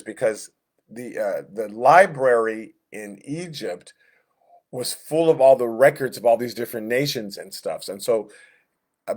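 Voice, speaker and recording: Man teaching an informal Bible lesson. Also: medium pitch. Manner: conversational, halting, backtracks and rephrases, acts out inner thoughts. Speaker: Sunday school teacher. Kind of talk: informal Bible lesson, reading verses and explaining.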